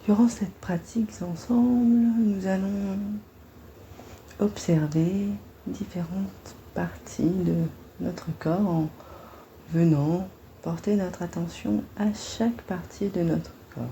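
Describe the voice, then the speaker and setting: A woman guiding a body-scan meditation in a gentle, soothing, unhurried manner, leaving long pauses between phrases. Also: fairly low pitch, steady tone, creaky, slight background noise.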